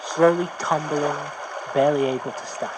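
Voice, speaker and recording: A man's voice: fairly high pitch, fairly even intonation, normal speed, very noisy. A man reading a scripted story as a dramatic narrator. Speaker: story reader. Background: music.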